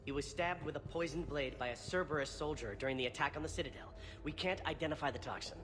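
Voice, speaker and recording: An actor playing an earnest, hard-pressed doctor in a video game, speaking with urgency. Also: male voice, a little high, fast talker, music in the background, close to an everyday voice. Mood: pleading. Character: earnest, hard-pressed male doctor pleading for his patient. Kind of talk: video game cutscene dialogue.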